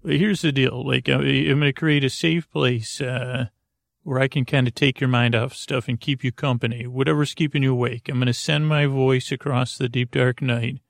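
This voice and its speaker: A man hosting a sleep podcast, rambling softly with many fillers and restarts in a lulling, creaky voice. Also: medium pitch, fairly even intonation, no room sound, clean studio sound. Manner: meandering, halting, full of fillers and restarts; soft, lulling, unhurried.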